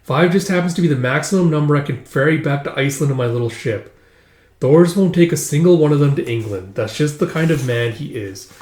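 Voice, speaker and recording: A man's voice: fairly high pitch, animated, fairly quick, a little mellow, close to an everyday voice. Man playing a confiding Viking seafarer, echoey room. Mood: reassuring.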